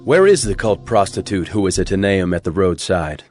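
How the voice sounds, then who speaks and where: An actor playing an earnest errand-runner in a dramatized scripture reading. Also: male voice, deep voice, big pitch swings, normal speed, darkish tone, soft background music. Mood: inquiring. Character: Judah's friend, earnest biblical errand-runner.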